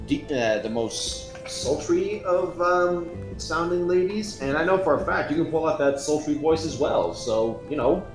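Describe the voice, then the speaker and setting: A man chatting casually on a stream, affectionate and teasing. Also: medium pitch. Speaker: guest on stream. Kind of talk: casual chat. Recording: echoey room with faint music.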